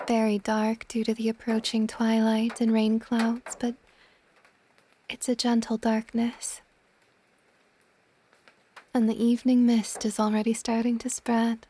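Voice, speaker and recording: A woman voicing an ancient, possessive but tender water dragon goddess in a soft, intimate ASMR romance roleplay, often slipping toward a whisper. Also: on the high side, a little hiss or hum. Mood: dreamy, calm.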